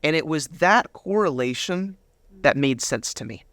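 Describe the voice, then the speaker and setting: A man's voice: on the high side, lively intonation, unhurried, a bit bright, no room sound. A man giving a personal testimony as an interview guest, retelling moments with quoted dialogue acted out.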